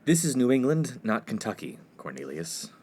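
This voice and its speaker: Man playing an exasperated, wildly animated college freshman. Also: mid-range voice, normal speed, slightly rough. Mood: dismayed. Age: young adult. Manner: indignant, sarcastic, precise. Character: exasperated, earnest, bookish young college freshman. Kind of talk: comedic 1950s-set radio play acting. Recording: faint background noise.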